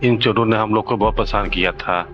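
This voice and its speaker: A man playing a calm, earnest village headman who addresses villagers in a measured, moralizing way in a children's cartoon. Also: on the low side, lo-fi sound, a little hiss or hum, natural voice. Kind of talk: Hindi children's cartoon dialogue, speech to villagers.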